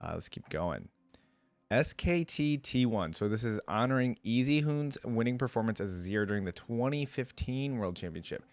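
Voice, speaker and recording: A man's voice: medium pitch, expressive, normal speed, no echo. A man giving informal, rambling and opinionated commentary in a casual reaction video. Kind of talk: casual reaction commentary, partly reading aloud.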